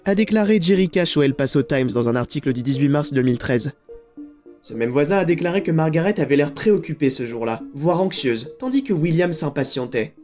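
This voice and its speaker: A man's voice: a little high, lively intonation, brisk, a little mellow. A man narrating a scripted mystery documentary with dramatic, suspenseful phrasing. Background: background music.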